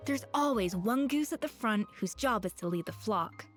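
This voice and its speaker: Game casting: exacting, proud woman chef with high standards, played by an actress, lightly high voice over soft music. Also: expressive, normal speed, close to an everyday voice. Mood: earnest, reflective. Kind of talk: video game voice acting. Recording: background music behind the voice.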